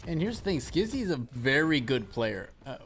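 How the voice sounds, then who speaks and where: A man's voice: mid-range voice, big pitch swings, normal speed, a bit bright, husky. A man giving live esports commentary and analysis as a co-caster. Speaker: esports shoutcaster.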